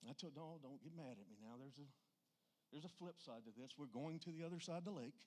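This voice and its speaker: A man's voice: a little high, quick pace, darkish tone. A man with a Southern US accent preaching a church sermon in a folksy, plainspoken and emphatic way.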